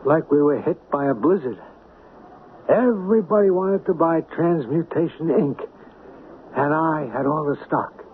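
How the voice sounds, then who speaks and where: An actor playing a nervous, weak-willed small-time hustler who stammers, in a 1970s radio drama. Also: male voice, a little high, a little slow, narrow, tinny audio, slight background noise, close to an everyday voice. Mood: uneasy recounting. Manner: agitated, stammering. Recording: thin old radio recording with music.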